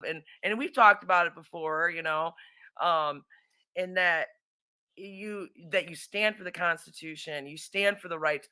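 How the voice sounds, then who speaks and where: A woman's voice: on the low side, a little slow, sharp tone. A woman interviewing in a casual, conversational way, chiming in agreeably.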